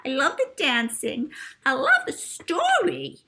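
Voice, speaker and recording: High, sugar-sweet child star. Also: female voice, wildly swooping pitch, bright, forceful tone, heavily acted. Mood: gushing. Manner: gushing, drawn-out stage-darling delivery. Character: sugary little girl child star, showy and gushing.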